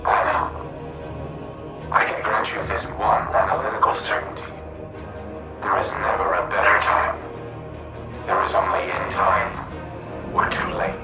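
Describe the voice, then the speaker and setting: An actor playing a grave, remorseful artificial mind turned humanlike, speaking in formal, deliberate, analytical phrases for a game cutscene. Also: male voice, sits low, little pitch movement. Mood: solemn regret. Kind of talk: scripted game cutscene acting. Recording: echoey, distant, loud music behind.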